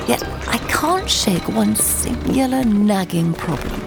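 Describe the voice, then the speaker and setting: A woman's voice: mid-range voice, animated, takes it slowly, creaky, exaggerated voice. A woman playing a haughty, sardonic, anxious skeptic of the 19th century in an audio drama, wordy and theatrical. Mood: scornful. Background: loud music.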